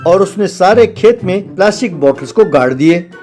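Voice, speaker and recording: Male narrator for a scripted comedy tale, slightly high pitch, music underneath. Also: lively intonation, normal speed.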